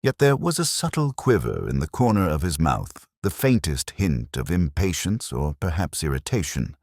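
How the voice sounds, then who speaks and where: A man reading a scripted literary detective story in the first person, with a measured, formal, reflective delivery. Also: low voice, sing-song, a little mellow, no echo, studio quality. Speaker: audiobook-style detective story narrator.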